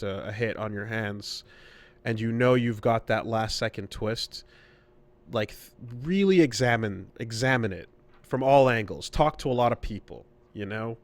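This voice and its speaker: A man co-hosting a podcast, giving casual conversational commentary in a wry, emphatic, sweary way. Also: fairly low pitch. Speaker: podcast co-host.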